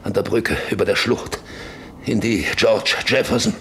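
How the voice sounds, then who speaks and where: An actor playing a guilt-ridden middle-aged husband, brooding and confessing in a German radio play monologue. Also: male voice, fairly low pitch, moderate pace, fairly bright tone, slight background noise. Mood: haunted confessing.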